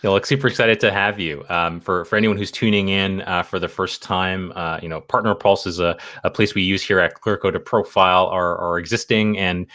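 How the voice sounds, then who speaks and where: A man's voice: sits low, steady tone, a little fast, hardly any pauses, sharp tone, no room sound, clean studio sound. A man hosting a casual interview show, speaking eagerly with lots of fillers. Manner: eager, filler-heavy, lots of you know. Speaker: show host.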